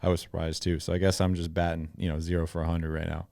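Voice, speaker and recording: Deep-voiced, chatty male podcast host. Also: little pitch movement, vocal fry, dark tone, dry recording, studio quality. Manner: upbeat, chatty, quick to laugh. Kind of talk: casual interview conversation.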